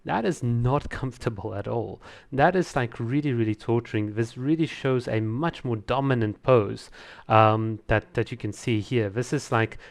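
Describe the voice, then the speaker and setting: A man with a medium-pitched voice gives casual reaction commentary as a video reviewer. He is emphatic, often doubling up words to stress his point. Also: normal speed, vocal fry.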